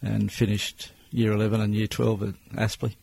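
Australian business owner in his 60s, low flat delivery, plain-spoken, measured. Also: vocal fry, husky. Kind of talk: interview answers.

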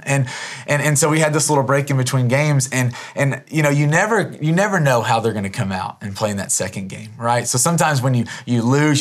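A hoarse man in his thirties, a pastor giving an earnest, conversational sermon with many restarts. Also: mid-range voice. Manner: earnest, conversational, frequent restarts and repeated words.

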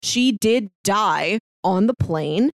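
A woman with a medium-pitched voice chats casually as a podcast co-host, talking through a story. The sound is dry, with no room echo around her. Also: lively intonation, slow pace, creaky. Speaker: podcast co-host discussing an audio drama. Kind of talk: casual chat, recapping a story.

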